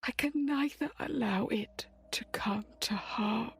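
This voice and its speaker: A woman playing a frail, solemn dying witch in an audio drama, with a weak, breathy voice and halting delivery. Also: a little high, exaggerated voice. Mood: weak, grateful.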